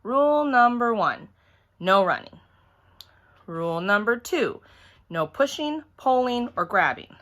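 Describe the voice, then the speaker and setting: A woman's voice: on the high side, sing-song, takes it slowly, pauses often, a bit bright, pitched above the performer's own voice, full character voice. A woman voicing a stern, exasperated, rule-giving father in a children's storybook. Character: stern, exasperated father running an egg hunt.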